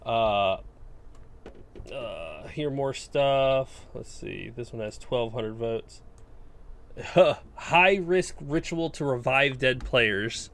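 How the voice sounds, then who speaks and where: A man's voice: medium pitch, lively intonation, drawn-out words, fairly bright tone. A man co-hosting a gaming podcast, talking in a casual, conversational style. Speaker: podcast co-host.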